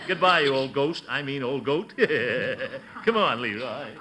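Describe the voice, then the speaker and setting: A man's voice: medium pitch, animated, slow, loud music behind the voice. An actor playing a pompous middle-aged uncle who hides his fear behind hesitant bluster, in old-time radio comedy style. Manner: pompous bluster, hesitant stammering, nervous chuckles. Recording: noisy vintage radio recording.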